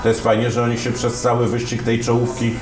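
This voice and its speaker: A man's voice: mid-range voice, completely flat delivery, quick pace, noisy background. A man in his fifties, a sports commentator and interview guest, telling stories at an easy pace with frequent fillers and restarts, on a lapel mic. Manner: storytelling, many fillers and restarts. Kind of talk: relaxed conversational interview. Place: dim room lined with wine shelves, indoors. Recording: roomy sound with music behind.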